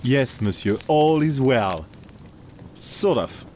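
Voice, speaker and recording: A dutiful night guard in an audio drama. He is earnest, plain-spoken and deferential, with an average-pitched voice. Some noise sits behind the speech. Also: expressive, slow, a little mellow. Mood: uneasy.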